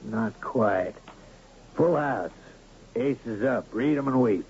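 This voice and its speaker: An actor playing a ruthless, bullying gambler who runs a Western town, sneering and menacing, in radio drama style. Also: male voice, medium pitch, expressive, slow pace, darkish tone. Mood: smug, sneering. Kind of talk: 1970s radio drama acting. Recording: muffled, noisy 1970s radio drama recording.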